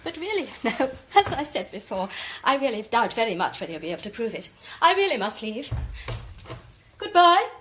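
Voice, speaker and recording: A woman's voice: a little high, very animated, moderate pace, noisy background. An actress playing a poised, condescending English society woman in a radio drama, polite and superior, with an English accent. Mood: amused, condescending.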